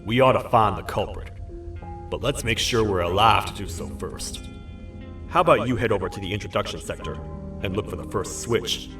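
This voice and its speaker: A man plays a low-voiced cartoon creature lawman, cocky yet world-weary. He drawls old-time slang with self-assurance. The game recording is echoey and distant, under loud music. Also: normal speed, natural voice. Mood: resolute. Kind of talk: video game character dialogue.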